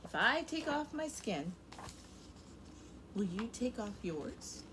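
A young woman teacher reading a picture book aloud to kindergarten children, very expressive, her loudness rising and falling sharply. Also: a little high, slow pace, background noise. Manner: expressive and animated, with big swings in loudness. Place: home room in front of a rainbow feather backdrop.

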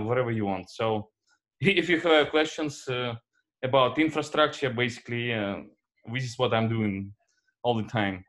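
A man's voice: mid-range voice, fairly bright tone. A man introducing himself on a video call in an enthusiastic, list-like way. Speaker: senior data scientist. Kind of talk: casual self-introduction on a call.

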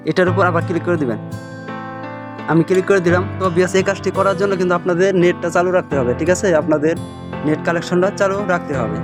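Male tutorial host, slightly high voice, brisk delivery, repetitive step-by-step guidance, guitar music behind. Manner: repetitive, keeps checking the viewer follows. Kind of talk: step-by-step screen tutorial narration. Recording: voice over plucked guitar music. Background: plucked guitar music.

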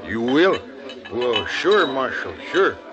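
Blunt, wary frontier laborer, rural Western American drawl, old radio drama, dull sound, music behind. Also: male voice, medium pitch, big pitch swings, slow. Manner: plain-spoken, drawling. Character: rough, blunt, wary frontier hide skinner. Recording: muffled vintage radio recording with music.

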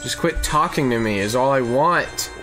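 A man's voice: mid-range voice, expressive, normal speed. A man giving casual, rambling and profane gaming commentary as he plays. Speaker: let's play host, playing the game. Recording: game music behind the voice. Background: video game music.

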